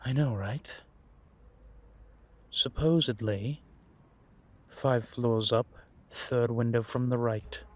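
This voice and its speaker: A man playing a world-weary, wry and menacing vampire intelligence operative in an audio roleplay, confiding in a measured, drawn-out way. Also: mid-range voice, lightly acted. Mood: wry, amused. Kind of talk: roleplay audio drama monologue addressed to a listener.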